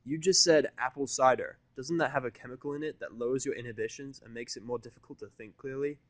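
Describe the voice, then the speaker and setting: Sardonic, blunt male story hero, read by a man, mid-range, deadpan bite, dry sound. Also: medium pitch, quick pace, no echo, natural voice. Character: sardonic, prickly, foul-mouthed human male.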